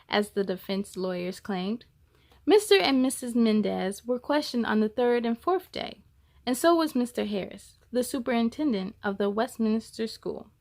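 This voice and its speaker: A woman with a fairly high voice reads a picture book aloud for story time. Her delivery is deliberate and expressive, placing emphasis on key words as a storyteller would for young listeners. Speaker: host of a children's read-aloud story time.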